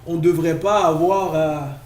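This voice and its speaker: A man from Quebec with a Quebec French accent, co-hosting a podcast in casual talk, halting and restarting his sentences often. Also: fairly high pitch, fairly even intonation, darkish tone. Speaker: podcast co-host. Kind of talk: casual discussion. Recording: echoey room, faint noise.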